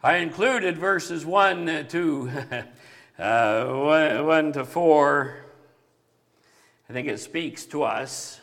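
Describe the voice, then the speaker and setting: A man preaching a sermon with a big voice that grows animated at times. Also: fairly high pitch, extremely slow, pauses often, in a large hall. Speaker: pastor.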